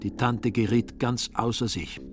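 A man's voice: mid-range voice, fairly even intonation, a little slow. A man narrating a literary radio drama in scripted prose. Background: music.

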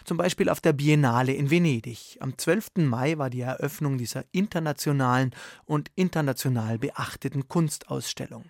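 A man's voice: mid-range voice, moderate pace, creaky, dry recording, studio quality. A man presenting a scripted radio magazine as its host.

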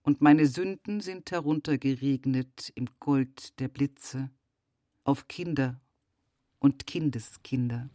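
Actor as solemn portentous guy, radio play, poetic, really slow, dry recording. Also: medium pitch, dark tone, no echo, natural voice. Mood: ominous, solemn. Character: solemn man telling of an ominous otherworldly storm.

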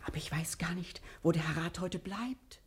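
A woman's voice: fairly low pitch, moderate pace, natural voice. A woman playing a gossipy, suspicious, devoted middle-aged housekeeper in a fussy, chatty, self-important manner, in 1960 German radio comedy style. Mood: conspiratorial, self-satisfied.

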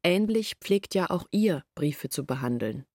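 Low husky young woman, earnest and troubled, telling her story in a radio play, dry close sound. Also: animated, unhurried, darkish tone, no room sound. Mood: earnest, weary. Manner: earnest, reflective storytelling in long sentences. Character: troubled young woman confessing her family's long hardship. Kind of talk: German literary radio drama acting, monologue.

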